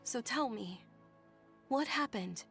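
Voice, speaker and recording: A woman's voice: on the high side, lively intonation, takes it slowly, vocal fry, fairly bright tone, soft background music, full character voice. Polite young noblewoman. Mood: probing. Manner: polite, composed, articulate. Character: gentle, well-bred young noblewoman, earnest and attentive. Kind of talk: video game voice acting.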